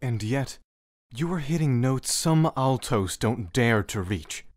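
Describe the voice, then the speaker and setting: An actor playing a brooding, reserved man in an audio drama, speaking in a measured, formal, terse way. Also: mid-range voice, expressive, no room sound, clean studio sound. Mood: probing, reserved.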